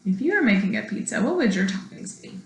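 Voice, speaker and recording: A woman's voice: mid-range voice, quick pace. A woman schoolteacher reading a children's storybook aloud expressively, as if to her young students. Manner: expressive, asks young listeners questions. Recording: echoey room.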